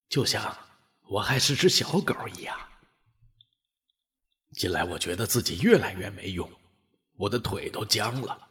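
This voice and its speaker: An actor playing a friendly old dog, easygoing and eager, in a radio drama. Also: male voice, lowish voice, fairly bright tone, exaggerated voice. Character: friendly old dog, a space explorer's loyal companion.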